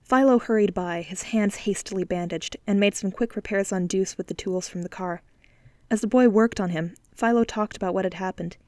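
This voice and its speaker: A woman narrating a dieselpunk noir audiobook with steady, clear storytelling. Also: mid-range voice, brisk, no room sound. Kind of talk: scripted narration.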